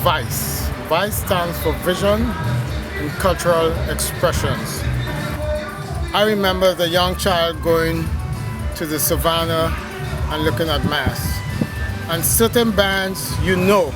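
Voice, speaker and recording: A man's voice: a little high, slow pace, loud music behind the voice, rough recording. A man from Trinidad and Tobago with a Trinidadian accent, a carnival band leader talking casually and joking in an interview. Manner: relaxed, joking, introduces family. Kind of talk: documentary interview.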